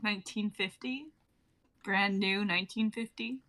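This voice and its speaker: A woman's voice: mid-range voice, steady tone, unhurried, a bit bright, lightly acted, poor audio. A young woman playing a bubbly, teasing twenty-three-year-old wife from 1950 in a dramatic reading, breezy and old-fashioned in her phrasing. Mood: breezy, curious. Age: young adult.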